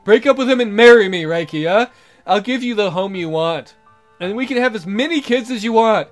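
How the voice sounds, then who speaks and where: Jealous rival suitor played by a man, raised pitch, insistent and pleading, romance game, light music behind. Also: expressive, normal speed. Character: pushy, jealous male suitor and coworker.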